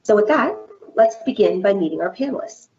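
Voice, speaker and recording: A woman's voice: medium pitch, expressive, darkish tone, lo-fi sound, faint music behind. A woman moderating a virtual panel discussion, introducing speakers and posing prepared questions in an orderly way. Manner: measured, scripted, orderly.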